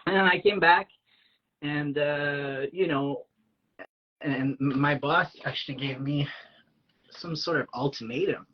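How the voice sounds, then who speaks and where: Husky francophone man telling stories. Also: on the high side, slow pace, pauses often, dull audio. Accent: French Canadian. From Canada. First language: French. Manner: animated, acts out quoted lines, many fillers. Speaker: guest, aspiring actor. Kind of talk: casual storytelling.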